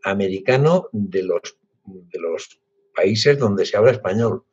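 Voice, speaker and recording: Husky Spanish man on a video call. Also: fairly low pitch, animated, a little mellow. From Spain, Basque Country. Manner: reflective, halting with frequent restarts. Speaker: guest author. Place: home library with bookshelves. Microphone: laptop webcam on video call. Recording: dull lo-fi video call audio.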